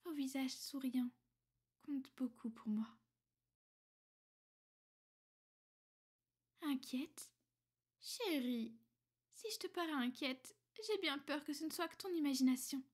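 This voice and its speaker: A woman playing a centuries-old vampire girlfriend in an ASMR roleplay, soft and intimate, often whispering, refined, tender and possessive. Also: high voice, measured pace. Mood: affectionate, composed.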